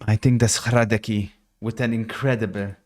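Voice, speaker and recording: A man with a fairly low voice hosts a live football watch-along. He chats casually, reacts to the play and answers viewer messages. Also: steady tone, normal speed. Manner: chatty, reacts to the match and reads out viewer messages. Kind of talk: casual live match commentary and chat.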